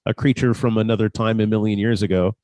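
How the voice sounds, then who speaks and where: A man in a relaxed group discussion among cast members, speaking hesitantly as he thinks things through. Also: on the low side, fast, no echo. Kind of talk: casual group conversation.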